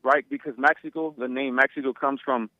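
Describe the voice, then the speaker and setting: A man's voice: mid-range voice, fairly even intonation, brisk, pushed and bright, dry recording. A man calling in to a talk show, arguing insistently and repeating himself as he stumbles over words. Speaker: caller. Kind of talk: phone-in argument. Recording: tinny phone line.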